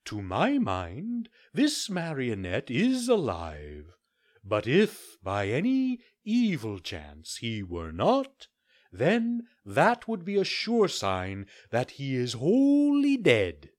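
A narrator voicing a courteous male owl doctor who contradicts his colleague in slow, measured speech. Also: mid-range voice, wildly swooping pitch, voice pushed up.

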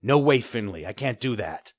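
A man voicing a young pirate boy who speaks tensely and urgently, raised in pitch, in a storybook reading. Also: expressive, normal speed, no echo, lightly acted.

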